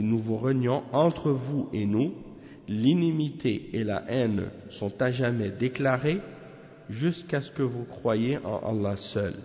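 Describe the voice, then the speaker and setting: A man from Canada giving a measured religious lesson on a text, often tagging his sentences with questions. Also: lowish voice, gravelly, mellow. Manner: measured, peppers talk with tag questions. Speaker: religious teacher. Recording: echoey hall, dull lo-fi sound.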